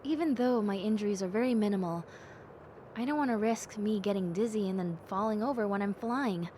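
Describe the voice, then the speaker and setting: A woman voicing a gentle, cheerful young bat girl in a soft, playful and sincere audio roleplay. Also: mid-range voice, fairly quick, a little hiss or hum, lightly acted. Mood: grateful, cheerful. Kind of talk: audio roleplay addressed to the listener.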